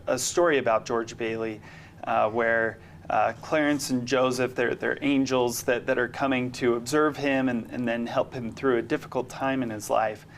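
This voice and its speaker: A middle-aged man answering casually as an interview guest, thoughtful and halting, often repeating words as he goes. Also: medium pitch, slight background noise. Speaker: interview guest, amateur actor. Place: TV studio.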